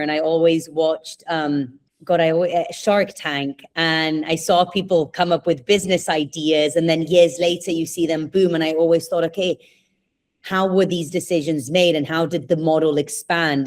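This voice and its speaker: A woman's voice: on the low side, darkish tone. A young adult woman, raised in Spain, chatting openly as a podcast guest and laughing often. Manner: chatty, laughs often, asks herself questions. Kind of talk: casual interview answers.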